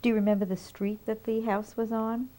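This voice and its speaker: A woman conducting an oral history interview, asking methodical, measured questions. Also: on the high side, little pitch movement, dark tone, rough recording.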